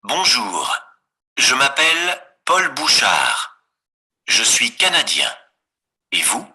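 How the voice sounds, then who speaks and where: A man records a textbook dialogue for learners in a bright, husky voice. He speaks slowly and with lively intonation. Every word is carefully separated for beginners. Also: medium pitch. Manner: slow, clearly articulated. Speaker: voice on course audio.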